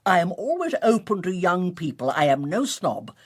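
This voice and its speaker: An actress with an English accent playing a rich, imperious and mischievous older spinster aunt in a radio drama. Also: female voice, lowish voice, big pitch swings, moderate pace, husky. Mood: grand, playful. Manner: grand, fussy, gleefully amused. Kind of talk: British radio drama acting.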